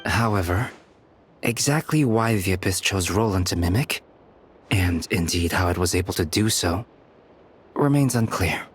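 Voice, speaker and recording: A clinical scholar lectures in a calm, measured way. His voice sits a little low over faint music. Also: breathy edge. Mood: explaining.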